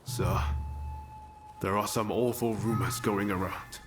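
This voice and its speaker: Man acting earnest emotional young-rank samurai, talks slow, music behind, game cutscene. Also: lowish voice, fairly even intonation, close to an everyday voice. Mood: worried.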